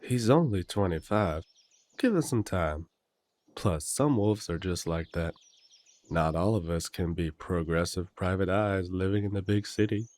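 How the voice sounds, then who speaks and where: A man's voice: deep voice, unhurried, darkish tone. A man playing a cheery, blunt country werewolf big brother in an immersive audio drama, with a folksy, teasing, hearty way of talking.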